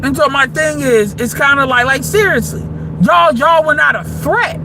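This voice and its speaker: A man, delivering a heated, profane monologue that rises into shouts. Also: extremely high pitch, moderate pace, gravelly, noisy background. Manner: heated, combative, swearing freely, rising to shouts. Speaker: livestream commentator.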